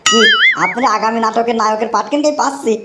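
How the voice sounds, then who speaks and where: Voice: medium pitch, steady tone, fast, roomy sound, very noisy, close to an everyday voice. An actor voicing a swaggering young village tough in a comic cartoon, declaiming like a folk-theatre hero with a rural Bangladeshi accent. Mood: eager.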